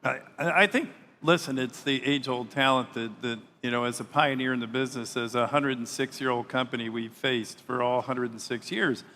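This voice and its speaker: Male panelist, measured speech, hall echo and faint room noise. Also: medium pitch, steady tone, sharp tone. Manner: measured, repeats words when starting a thought. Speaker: panelist, hospitality executive. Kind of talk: panel discussion. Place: large conference hall stage. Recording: hall reverb, faint ambience.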